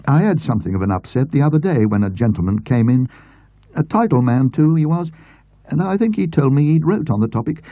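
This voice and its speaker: A man's voice: medium pitch, no echo, lo-fi sound, pitched above the performer's own voice. A man voicing a wordy, self-important, obsequious English tradesman in an audiobook story. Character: pompous, obsequious English tradesman with homespun grammar. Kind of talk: audiobook character voice.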